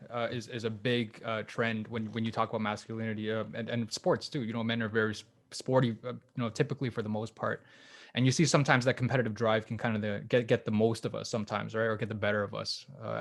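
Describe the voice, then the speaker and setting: A man's voice: lowish voice, little pitch movement, quick pace, a bit bright. A man hosting an online panel discussion as a coach, speaking hesitantly with lots of fillers and restarts over a video call.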